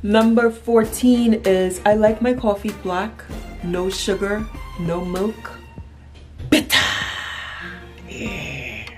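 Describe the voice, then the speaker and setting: A woman's voice: medium pitch, takes it slowly, a little mellow, faint music behind. A woman in her thirties with a Trinidadian accent, chatting casually to camera as a playful, emphatic storyteller. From Trinidad and Tobago. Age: 30s. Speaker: vlogger. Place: small furnished room at home, indoors.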